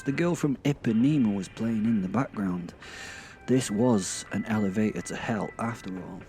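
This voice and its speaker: A man's voice: mid-range voice, a little mellow. A man playing a chatty, irreverent werewolf who narrates a comic horror audio drama in rambling, self-interrupting asides. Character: irreverent, chatty, starstruck male werewolf killer. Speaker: narrator and host. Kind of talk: first-person comic horror monologue. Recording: music behind the voice.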